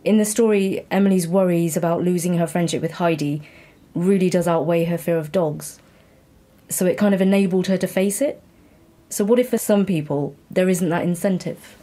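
A woman's voice: lowish voice, moderate pace, warm tone. A woman interviewer talking conversationally, candid and reflective as she asks questions and shares her own thoughts.

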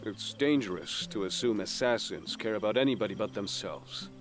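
An actor playing a weary, grim assassin in an audio drama, speaking in a serious, measured, admonishing way. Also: male voice, fairly low pitch, lively intonation, faint music behind, lightly acted. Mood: grim warning. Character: weary, grim male assassin, bluntly cautionary.

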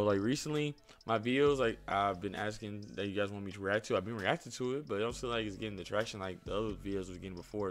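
A young man giving casual reaction commentary in informal, slangy speech, often repeating himself, close to a desk microphone. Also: lowish voice. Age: young adult. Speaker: reaction video host. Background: music.

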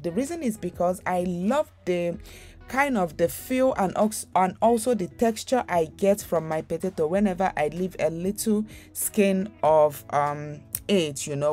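A woman's voice: lowish voice, mellow. A young woman hosting a home cooking channel, talking viewers through a recipe in a chatty, rambling way with lots of fillers and restarts. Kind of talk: casual recipe voice-over.

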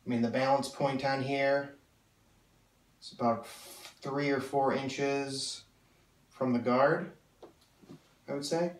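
A man's voice: mid-range voice, steady tone, slow pace, pauses often. A young man with a slightly nasal voice from a cold, enthusiastically reviewing a sword in casual, rambling talk with many fillers. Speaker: sword collector reviewing blades. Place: small room full of swords, indoors. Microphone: camera mic from several feet away. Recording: roomy echo, mic at a distance.

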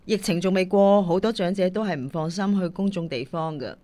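A woman's voice: fairly low pitch, brisk, mellow, close to an everyday voice. A woman playing a sensible, kindly singing teacher in a radio drama, speaking with a Hong Kong Cantonese accent. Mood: friendly.

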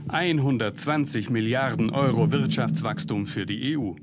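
A man's voice: medium pitch, normal speed. A man reading a scripted investigative news report as a voice-over, with pointed, ironic emphasis. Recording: narration over loud music bed.

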